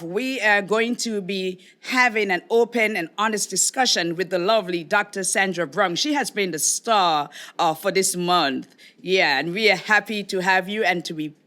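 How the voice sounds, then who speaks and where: A woman hosting a podcast interview, friendly and slightly halting as she introduces her guest. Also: medium pitch, bright, forceful tone. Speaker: podcast host.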